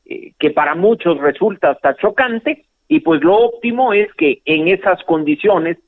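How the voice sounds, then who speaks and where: A man's voice: high voice, lively intonation, measured pace, dry recording. A male lawyer, speaking as a radio guest over the phone and explaining legal points in a composed way, with the odd hesitation. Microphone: telephone line. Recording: dry, tinny phone line.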